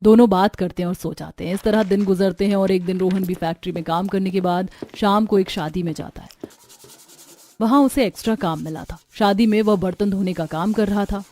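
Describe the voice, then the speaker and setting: A woman's voice: medium pitch, fairly even intonation, brisk, warm tone. A woman narrator reading a scripted family story for a cartoon.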